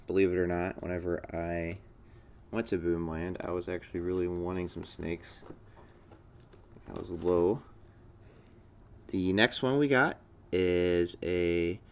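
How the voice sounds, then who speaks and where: Very deep-voiced young-sounding casual American man. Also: gravelly. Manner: enthusiastic, informal, rambling. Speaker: fireworks channel host. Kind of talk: casual unboxing commentary.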